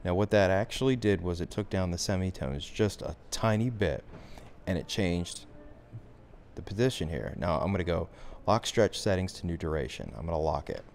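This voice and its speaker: A man giving a software tutorial as instructor, talking through the steps in an informal, conversational way. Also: deep voice, lively intonation, moderate pace, creaky, slight background noise.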